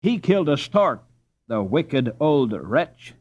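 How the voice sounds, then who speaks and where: A man's voice: medium pitch, very animated, slow pace, a little mellow, muffled recording, pitched above the performer's own voice. A man voicing a saucy young girl in a storybook, scornful and emphatic in manner. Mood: disgusted. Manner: indignant, emphatic.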